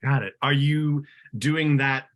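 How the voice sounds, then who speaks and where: Male podcast host, average pitch, conversational interviewer delivery. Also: fairly even intonation, slow pace, fairly bright tone.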